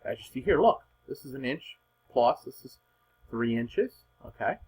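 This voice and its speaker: A man giving informal, unscripted weather forecast commentary in an emphatic, husky voice, repeating points for stress. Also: medium pitch, expressive, moderate pace, darkish tone.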